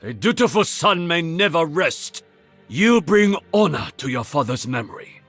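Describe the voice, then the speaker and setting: An actor playing a proud, stern master archer in a video game, with a Japanese accent and a formal, haughty delivery. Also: male voice, on the high side, sing-song, moderate pace, fairly bright tone, soft background music. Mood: solemn, resolute. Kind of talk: video game character voice lines.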